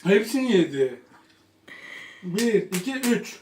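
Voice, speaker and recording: A woman's voice: mid-range voice, very animated, takes it slowly, darkish tone. A woman chatting casually during a family game, exclaiming and playfully complaining. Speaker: player in a family eating game. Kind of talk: casual family play chat. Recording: echoey room, faint background noise.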